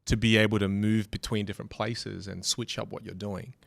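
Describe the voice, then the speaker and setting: A man's voice: lowish voice, a little fast, creaky, dry recording. A man with an Australian accent that leans British, a podcast guest talking casually and animatedly about communication. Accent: Australian, leaning British. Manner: animated, acts out other voices. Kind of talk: casual conversation.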